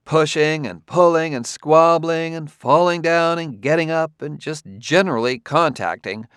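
Brisk bossy lady in charge of kids, as a guy reads her, voice pushed up high, dry audiobook read. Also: a bit bright, no echo. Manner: crisp, matter-of-fact, easily exasperated. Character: brisk brusque woman who runs a children's farm. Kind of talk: audiobook character voice.

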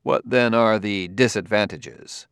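A man voicing a patient middle-aged police detective in an audiobook, asking questions quietly and cautiously. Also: on the low side, measured pace, vocal fry, clean tone, dry recording, clean studio sound.

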